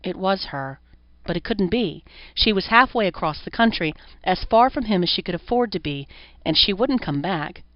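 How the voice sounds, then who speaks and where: A woman's voice: medium pitch, animated, moderate pace. A woman reading a horror story as an audiobook narrator, in scripted narration. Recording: roomy echo, dull lo-fi sound.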